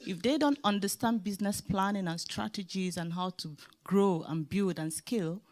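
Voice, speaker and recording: A woman's voice: medium pitch, normal speed, darkish tone. A woman with a Nigerian accent, a leadership specialist speaking emphatically on a conference panel. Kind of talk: panel discussion remarks.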